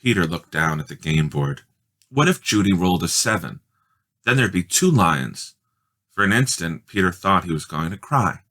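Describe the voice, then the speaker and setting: A man reading a children's story aloud in expressive, measured, dramatic narration. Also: sits low. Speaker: storybook reader.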